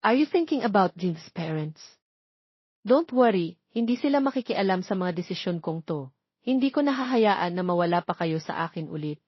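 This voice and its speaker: A woman's voice: on the low side, animated, moderate pace, husky, no room sound. Woman acting a devoted young man, Filipino accent, earnest, serious, romance audio drama, dry, slightly muffled. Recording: slightly dull, lo-fi recording.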